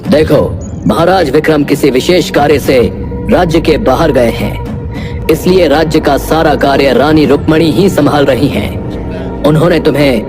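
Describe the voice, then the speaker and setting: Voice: mid-range voice, unhurried, over music, natural voice. An actor voicing an earnest male messenger figure in a cartoon moral story, speaking in a formal, declarative way. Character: grave village elder and brisk royal soldier, male.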